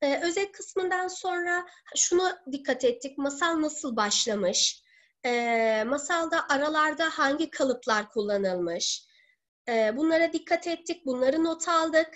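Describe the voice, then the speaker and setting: A woman in her thirties, a teacher presenting in an online seminar from home, explaining step by step in a halting way. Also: high voice, animated, fairly bright tone. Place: living room at home. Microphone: laptop or webcam video call.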